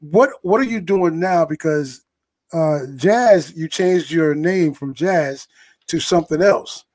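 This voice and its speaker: An easygoing man hosts a casual interview, slipping in short replies and steering toward his next question. His voice sits a little higher than usual for a man. The sound is dry and close, with no room echo. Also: takes it slowly, darkish tone. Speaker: host.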